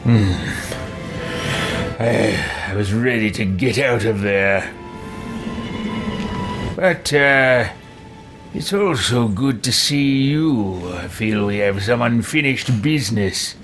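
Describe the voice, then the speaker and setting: A man roleplaying in character during a video game let's play, speaking in a deliberate, theatrical way. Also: fairly low pitch, music in the background.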